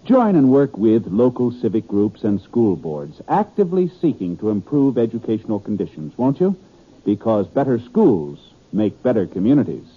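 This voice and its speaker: A man's voice: fairly low pitch, sing-song, moderate pace, husky, narrow, tinny audio. A man with an American accent reading formal scripted announcements as a 1950s radio network announcer. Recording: thin band-limited 1950s radio recording.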